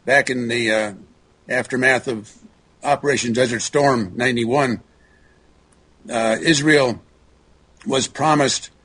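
Husky-voiced man talking haltingly. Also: mid-range voice, a bit bright, rough recording. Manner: halting, repeats words, clears throat often. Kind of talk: talk show conversation.

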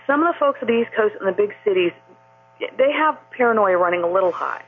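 A woman's voice: mid-range voice, animated, fast. A woman calling in to a talk radio show, rambling and indignant with many fillers. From Maine. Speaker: caller. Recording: phone line, husky.

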